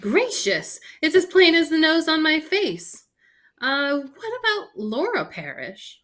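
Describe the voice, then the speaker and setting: Brief: actress as plucky radio-mystery gal, high voice, very animated, sardonic and exclamatory. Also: female voice, takes it slowly, exaggerated voice. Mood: astonished. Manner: exclamatory, folksy. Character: plucky, outspoken, sardonic female sidekick. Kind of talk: old-time radio mystery acting.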